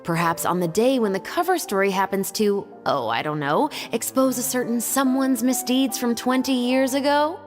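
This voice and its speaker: Woman as a cool, dry, expressive patrol captain. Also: fairly high pitch, moderate pace, hardly any pauses, lightly acted. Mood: sly, teasing. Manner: casual, dry, understated. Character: cool, sardonic female special patrol captain. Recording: long reverb, music underneath.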